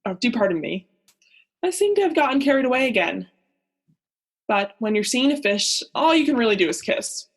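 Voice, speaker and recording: A woman's voice: on the high side, sing-song, normal speed, pauses often. A young woman playing a melodramatic, lonely, self-pitying male diver in a comic radio play, with a theatrical manner. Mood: lonely griping. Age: young adult. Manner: theatrical, gushing then griping.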